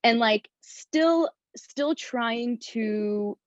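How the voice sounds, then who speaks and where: A woman's voice: fairly high pitch, very slow, no room sound. A woman from Syria asks a question over a dull, lo-fi online call with a dry sound. She speaks hesitantly, searching for her words as she thinks aloud. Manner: searching, hesitant, full of fillers. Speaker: audience member asking a question.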